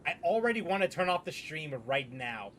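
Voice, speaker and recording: A man's voice: on the high side, big pitch swings, moderate pace, a bit bright, a little hiss or hum. A man streaming a game with casual, playful, rambling commentary, often repeating his phrases. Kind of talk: casual live playthrough commentary.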